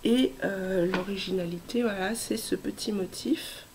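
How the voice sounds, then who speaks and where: A woman in her thirties, hoarse from a cold, hosting a casual knitting vlog with many hesitations and soft asides. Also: mid-range voice, unhurried, background noise. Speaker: knitting podcast host.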